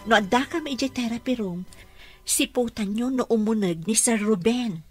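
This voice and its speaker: An actress playing an earnest, respectful caregiver in a radio drama, speaking politely with a Filipino accent. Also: female voice, fairly high pitch, moderate pace, a little mellow, soft background music, natural voice.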